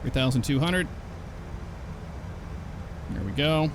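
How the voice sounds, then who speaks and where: A man's voice: on the high side, slow, noisy background. A man hosting a flight sim channel, chatting casually through his flight and reading back radio calls to the controller. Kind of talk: casual gameplay commentary.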